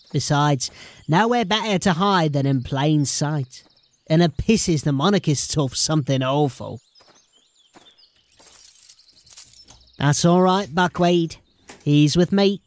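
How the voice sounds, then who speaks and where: An actor playing a cocky, threatening street-gang henchman in a fantasy audio drama, with a rough, slangy, taunting way of talking. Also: on the high side, a little mellow, no room sound, lightly acted. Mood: proud, brash.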